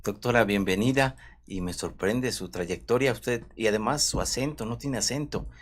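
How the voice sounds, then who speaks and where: A man's voice: mid-range voice, moderate pace. A man, a law professor hosting a panel, who welcomes his guests cordially and keeps the talk moving with short affirmations.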